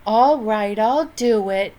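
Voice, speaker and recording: A woman voicing an obliging, slightly weary young girl in a children's storybook, measured and agreeable. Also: medium pitch, mellow, lightly acted.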